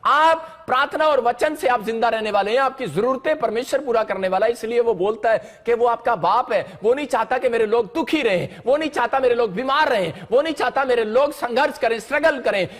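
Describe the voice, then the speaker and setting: Very high-pitched male preacher. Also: fairly quick, hardly any pauses, fairly bright tone. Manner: emphatic, repetitive exhorting delivery. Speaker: pastor preaching.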